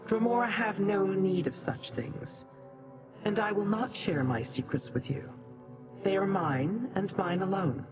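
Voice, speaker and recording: An actress playing a proud, secretive demon in a video game, haughty, formal and deliberate. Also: female voice, medium pitch, lightly acted. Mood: aloof, measured. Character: proud, aloof demon schemer, secretive and self-serving. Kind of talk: video game character acting. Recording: music behind.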